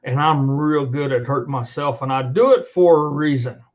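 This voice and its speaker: A man's voice: medium pitch, moderate pace, dull audio. A middle-aged man hosting an online show, delivering an unscripted rant in a blunt, profane, emphatic and repetitive way. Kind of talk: unscripted monologue rant to camera. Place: indoors at a computer.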